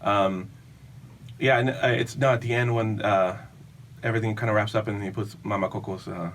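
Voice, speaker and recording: A low-pitched man in his thirties, originally from Venezuela, telling stories in a chatty, animated way as a podcast guest. He sits in a small foam-walled studio before a boom-arm mic, his voice a touch distant from it, with a faint hiss underneath. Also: normal speed. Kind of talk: casual podcast chat. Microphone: studio mic on boom arm, headphones.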